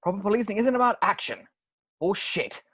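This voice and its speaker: A man reading the part of a stern, deadpan police sergeant who goes strictly by the rules. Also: sits high, a little fast, breathy edge, no room sound, clean studio sound, heavily acted. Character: stern, rule-bound male police sergeant.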